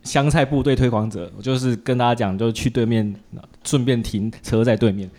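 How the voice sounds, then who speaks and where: A man's voice: mid-range voice, brisk, a little mellow. A man with a Taiwanese Mandarin accent hosting a casual chat, joking and colloquial, with lots of little interjections. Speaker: podcast host interviewing a guest.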